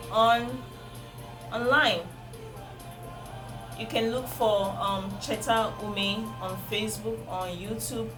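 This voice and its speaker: A woman's voice: mid-range voice, low-quality recording. A woman with a Nigerian accent, a native Igbo speaker, giving earnest parenting advice straight to her viewers and repeating key points for emphasis. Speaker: parenting vlogger. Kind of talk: advice talk to camera. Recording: music playing under the voice.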